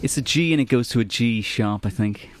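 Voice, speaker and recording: A man who is a singer being interviewed in a radio studio, speaking in a relaxed, reflective way. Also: medium pitch, a little fast. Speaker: singer and musician guest. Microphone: studio microphone.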